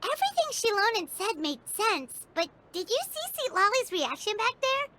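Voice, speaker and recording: A woman voicing a tiny, chirpy fairy girl companion in an anime game, with a squeaky childlike voice and an excitable, curious manner. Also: background noise, full character voice. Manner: excitable, refers to herself by name.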